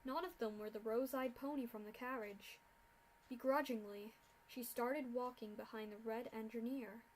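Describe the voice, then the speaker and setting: A woman reading a fanfic aloud, narrating in an expressive storytelling way. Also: a little high, moderate pace, a little hiss or hum, low-quality recording.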